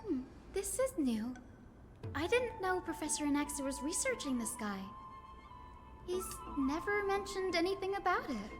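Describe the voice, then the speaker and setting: Gentle studious young woman for a fantasy game; high voice, measured explanations, music underneath. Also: big pitch swings, long reverb tail. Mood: thoughtful. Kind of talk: video game voice acting.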